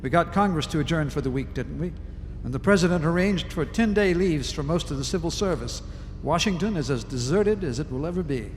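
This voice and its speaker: A man's voice: on the high side, lively intonation, slight background noise, close to an everyday voice. Man acting a grave colonel in echoey hall. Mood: guarded, businesslike. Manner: deliberate, argumentative military speech. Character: grave, forceful, argumentative army colonel in wartime. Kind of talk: live audio drama acting. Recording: live stage recording in echoey hall.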